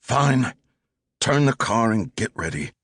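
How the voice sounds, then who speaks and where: Man voicing a hesitant young robber, raised pitch. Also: sing-song, takes it slowly, creaky, a bit bright, slightly rough, dry recording, exaggerated voice. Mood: reluctant resolve. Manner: hesitant, terse. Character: nineteen-year-old male robber, nervous and hesitant.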